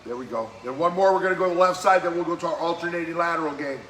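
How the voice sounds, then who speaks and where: A man leading a live-streamed workout as fitness coach, giving brisk, encouraging exercise cues in a slightly hoarse voice that keeps cracking. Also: a little high, fairly bright tone. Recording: echoey room with faint background noise.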